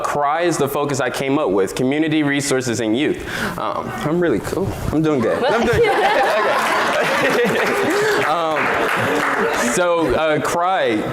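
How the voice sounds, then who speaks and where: A man's voice: a little high, slight background noise. A teenage man on a discussion panel, talking in a playful, rambling way with many fillers and restarts. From Louisiana. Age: teens. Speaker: student panelist, youth nonprofit founder. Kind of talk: casual panel talk. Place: event hall with live audience. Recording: roomy hall with audience. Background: audience.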